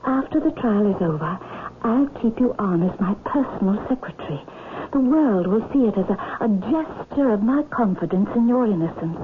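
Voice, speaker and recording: A woman's voice: medium pitch, expressive, normal speed, lightly acted. A woman playing a possessive, scheming aristocratic widow in a vintage radio drama, insistent and imperious, with melodramatic delivery. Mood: coaxing, possessive. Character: possessive, scheming aristocratic widow, turning vindictive when spurned. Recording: tinny, hissy old radio drama recording.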